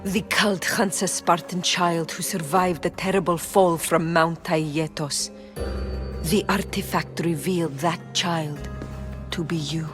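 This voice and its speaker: An actress voicing a solemn, guarded, uneasy oracle in a video game drama, with music behind. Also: female voice, lowish voice, fairly even intonation, slow. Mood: solemn, cryptic.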